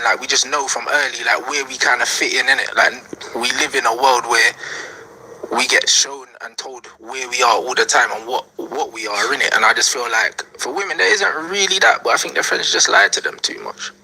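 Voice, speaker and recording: A man, speaking as a panel guest in a slangy, animated way. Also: medium pitch, a little fast, rough recording. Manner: slangy, animated, laughs between points.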